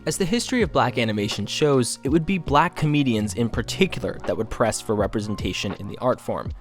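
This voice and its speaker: A man reading scripted documentary narration in a measured, formal, informative manner. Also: medium pitch, lively intonation. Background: faint music.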